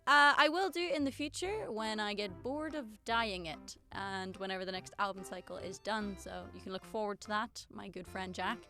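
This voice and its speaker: Bright-voiced woman reading comments. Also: mid-range voice, moderate pace, hardly any pauses, faint music behind. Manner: sarcastic, self-mocking. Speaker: singer and YouTuber. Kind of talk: casual vlog reading comments aloud.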